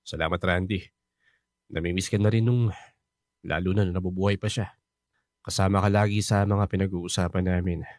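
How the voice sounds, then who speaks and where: A man's voice: deep voice, steady tone, moderate pace, pauses often, a little mellow, no echo, studio quality, close to an everyday voice. A Filipino man playing a hearty, teasing widowed father chatting with an old friend in a radio drama. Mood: wry, nostalgic.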